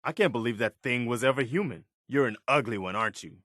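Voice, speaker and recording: A man's voice: mid-range voice, lively intonation, fast talker, bright, forceful tone, no echo. A principled, battle-weary militia soldier from a video game. He is earnest and direct, with clipped military phrasing and a loyal streak. The sound is very dry, with no room around the voice. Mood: alert, urgent battle shouts. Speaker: video game companion character. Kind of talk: scripted game dialogue and combat barks.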